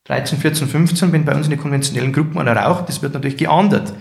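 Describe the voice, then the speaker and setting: A man's voice: a little high, quick pace, vocal fry, darkish tone. A middle-aged man with a Bavarian accent, a guest in an interview, earnest and reflective, telling anecdotes. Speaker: managing director of a care home, guest. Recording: echoey room.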